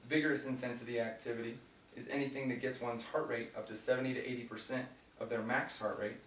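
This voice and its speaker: Raspy young man, student speech, picked up from far off in an echoey room with faint hum. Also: medium pitch, level tone, fast, a bit bright. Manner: reads from prepared notes with fillers and restarts. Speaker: student giving a class speech. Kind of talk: informative class speech. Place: classroom.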